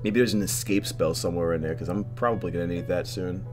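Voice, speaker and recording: A man hosting a game playthrough, reading visual novel narration aloud in an expressive way, stumbling now and then and dropping in joking asides. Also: low-pitched, quick pace, music in the background. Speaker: host of a video game playthrough.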